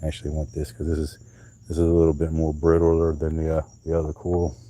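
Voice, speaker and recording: A man with a low, dark, relaxed voice hosts a live stream in a loose, rambling way. He talks casually, restarts his sentences and lets thoughts trail off as he goes. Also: quick pace, slight background noise. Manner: rambling, chatty, drifts off mid-sentence; handling and showing coral pieces.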